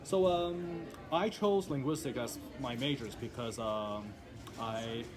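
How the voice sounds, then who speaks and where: A man's voice: mid-range voice, slow pace, over music. A young man whose first language is Cantonese, chatting casually as a linguistics student with frequent ums and uhs. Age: young adult. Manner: relaxed, frequent fillers. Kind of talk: casual chat.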